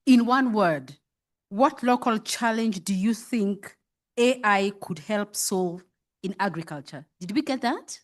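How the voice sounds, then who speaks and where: A woman moderating a panel on a conference stage before a live audience, playful and encouraging as she introduces the speakers. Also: medium pitch.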